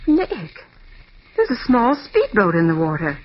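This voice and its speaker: An actress playing a sharp-eyed, plucky young detective's assistant, perky and inquisitive, in a 1940s-style radio drama. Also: female voice, mid-range voice, wildly swooping pitch, a little slow. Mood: curious, surprised. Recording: muffled old radio recording.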